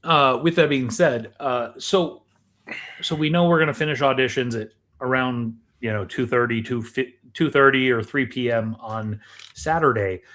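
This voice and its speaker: Male host, average pitch, casual and conversational, phrases things deliberately and leads with questions. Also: a bit bright. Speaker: podcast host.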